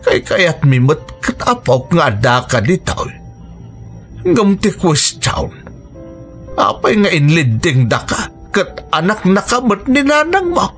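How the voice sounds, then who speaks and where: A man's voice: sits high, big pitch swings, slow pace, fairly bright tone, exaggerated voice. An actor with an Ilocano Filipino accent playing a concerned, protective elderly grandfather in a radio drama, speaking emphatically. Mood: concerned, questioning. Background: music.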